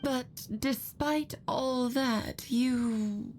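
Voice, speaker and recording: A woman's voice: sits high, drawn-out words, a little mellow, slight background noise. An actress voicing a whimsical, knowing witch in a video game, theatrical and musing, as if addressing a dear friend. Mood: cautioning.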